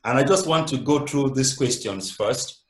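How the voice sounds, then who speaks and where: Male moderator, mid-pitched, polite and halting, roomy call audio. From Cameroon. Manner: polite, hesitant with many fillers. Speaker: webinar moderator.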